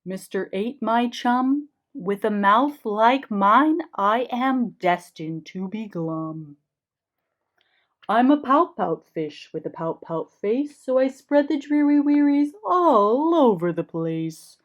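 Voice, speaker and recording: A woman's voice: on the high side, lively intonation, takes it slowly. A woman voicing a glum, resigned male fish in a children's storybook, in sing-song rhyme on a slightly dull recording.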